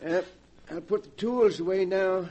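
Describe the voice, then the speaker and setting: An actor playing a humble, solemn old caretaker who speaks deferentially in a 1940s radio drama. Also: male voice, sits high, unhurried, darkish tone. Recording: dull old radio recording.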